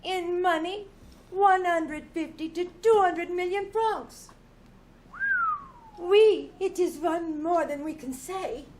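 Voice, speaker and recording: A haughty French cabaret dancer played by a woman with an extremely high voice. She is indignant, proud and evasive, answering in a clipped, defensive way in radio-play style. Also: slow pace, darkish tone, a little hiss or hum. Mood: proud, defiant. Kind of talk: old-time radio drama acting. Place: small-town theater stage.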